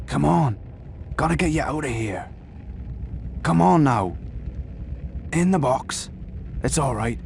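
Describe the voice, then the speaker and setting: Big gentle youth, gravelly, high for a man, slow, defensive, faint noise. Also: expressive, full character voice. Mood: coaxing. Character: big, soft-hearted, defensive young man protecting his pet monster. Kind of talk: video game voice acting. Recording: noisy background rumble.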